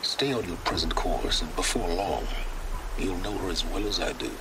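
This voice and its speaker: A man's voice: low-pitched, normal speed, lightly acted. A man voicing a stern guide who gives grave warnings over a link, in a game. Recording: game audio with loud noise.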